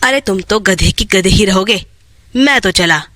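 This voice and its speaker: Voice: medium pitch, expressive, unhurried, pushed and bright, dry recording, pitched below the performer's own voice. A storyteller voicing a greedy, impatient, scornful young man in a cartoon tale. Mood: angry, scornful.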